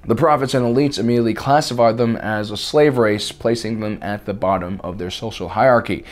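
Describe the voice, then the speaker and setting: A man hosting a scripted video essay, with a slightly raspy voice and a deadpan, mock-serious delivery. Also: on the low side, a little fast. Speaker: host.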